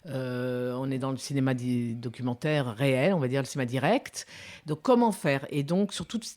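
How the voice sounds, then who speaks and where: A woman's voice: rumbling low, moderate pace, darkish tone. A woman in her fifties, a documentary filmmaker, giving reflective interview answers with many hesitations and restarts. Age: 50s.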